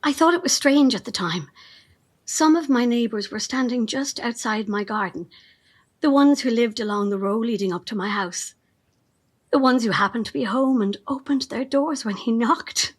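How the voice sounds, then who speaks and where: An actress playing a fanatical cult assistant in an audio drama, measured, calmly menacing and reverent, with a breathy, husky voice. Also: female voice, on the high side, lightly acted. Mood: rapturous awe. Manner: measured, veiled threats, reverent.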